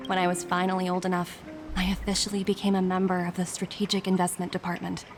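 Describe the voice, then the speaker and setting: A woman voicing a composed corporate agent in a video game, reading a formal, earnest and persuasive letter in a measured way. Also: fairly low pitch, completely flat delivery, close to an everyday voice. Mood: earnest, resolute. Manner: measured, formal, deliberate phrasing. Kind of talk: scripted game letter monologue. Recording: background music under the voice.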